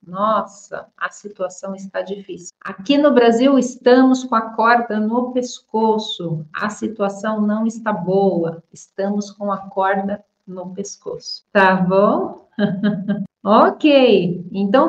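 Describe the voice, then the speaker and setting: A woman teaching a language lesson online, explaining idioms slowly with repeated examples. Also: mid-range voice, echoey room, highs cut off. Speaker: Portuguese teacher.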